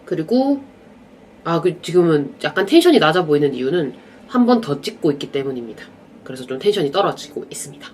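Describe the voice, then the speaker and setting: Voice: medium pitch, fairly quick, a little hiss or hum. A young adult vlogger with a slight cold and a stuffy nasal voice, chatting casually to camera about films and dramas. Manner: relaxed, chatty, drifting off into asides. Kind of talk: casual rambling chat to camera.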